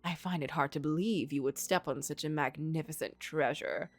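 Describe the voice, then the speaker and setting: A woman voicing a sly, courtly gentleman in a story game, coaxing and insistent as he offers magical bargains. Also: low-pitched, moderate pace, faint music behind, pitched below the performer's own voice.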